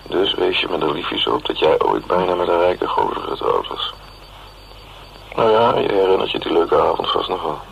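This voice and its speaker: Very deep, wry, gravelly cynical man. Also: expressive, moderate pace, heavily acted. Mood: rueful. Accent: Netherlands Dutch. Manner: sardonic, rambling monologue. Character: wry, cynical working man musing ruefully to his sweetheart. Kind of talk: radio drama acting. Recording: dull, hissy old radio recording.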